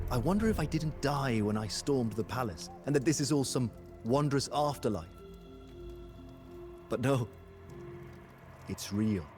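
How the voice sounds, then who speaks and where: An actor playing a passionate, grave war commander and devoted lover, narrating a game cutscene in a solemn, theatrical way. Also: male voice, medium pitch, a little mellow. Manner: solemn, theatrical storytelling, intense. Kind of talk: dramatic scripted first-person game cutscene narration. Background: music.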